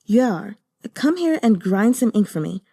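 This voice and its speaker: Woman doing a cool composed noble lady, low and flat-toned, mellow. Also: normal speed, husky, no echo, clean studio sound. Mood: firm. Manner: low, bland and controlled. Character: composed young noblewoman, calm and reserved.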